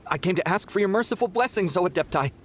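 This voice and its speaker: An actor playing a whiny, greedy and impatient young pilgrim in a video game, theatrical and petulant. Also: male voice, sits high, animated, quick pace. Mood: awed, pleading. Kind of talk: anime-style video game acting.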